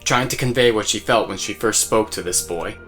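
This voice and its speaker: A man's voice: fairly low pitch, a little fast, a bit bright. A man narrating a scripted audio drama story. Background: faint music.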